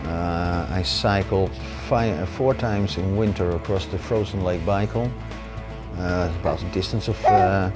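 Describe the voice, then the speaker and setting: Dutch-accented man over guitar music. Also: low voice. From Netherlands. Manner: plain-spoken, lists feats matter-of-factly, frequent uh fillers. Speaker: adventurer interviewee. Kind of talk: casual interview talk. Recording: music playing underneath.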